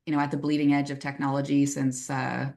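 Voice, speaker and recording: Canadian woman, really low voice with some vocal fry, friendly and professional, video call mic. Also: little pitch movement, brisk, a little mellow. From western Canada. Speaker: guest accountant and firm owner. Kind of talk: webinar self-introduction.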